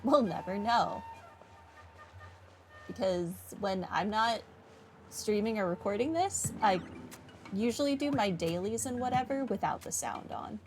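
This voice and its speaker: A woman's voice: mid-range voice, unhurried, pauses often. A woman streamer giving casual, chatty playthrough commentary with dry, self-deprecating humor. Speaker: game streamer. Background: game music.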